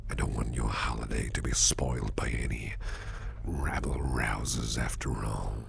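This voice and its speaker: A man playing an attentive, softly flirtatious businessman boss in a whispered ASMR roleplay, reassuring and doting, with a breathy voice. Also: fairly even intonation, full character voice. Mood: protective, soothing. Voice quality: breathy whisper, very low and gravelly. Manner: unhurried, reassuring, personal attention to listener.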